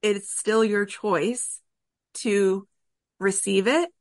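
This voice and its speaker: A woman's voice: medium pitch, fairly even intonation, drawn-out words, no echo, clean studio sound. A woman hosting an interview podcast, talking in an earnest, encouraging and emphatic way about workplace experience. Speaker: podcast host. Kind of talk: interview conversation.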